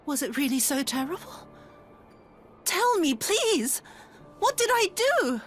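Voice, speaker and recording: Video game amnesiac: high voice, fragile, anguished, bewildered, faint music behind. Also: female voice, big pitch swings, takes it slowly, a bit bright, exaggerated voice. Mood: desperate, pleading. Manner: fragile, pleading. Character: anguished, bewildered woman without memory, pleading. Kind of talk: video game cutscene acting.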